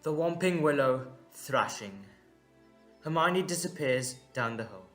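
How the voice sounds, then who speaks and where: A man's voice: mid-range voice, animated, a little slow, a bit bright, faint music behind. A man giving dramatic scripted narration for an audio drama.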